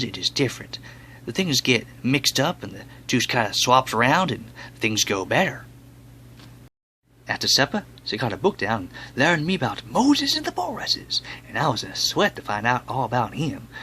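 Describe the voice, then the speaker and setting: A man's voice: medium pitch, bright, forceful tone, slight background noise, poor audio. A man performing a dramatic monologue as a folksy, plain-spoken boy. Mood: musing, dismissive. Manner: drawling, folksy, ungrammatical storytelling.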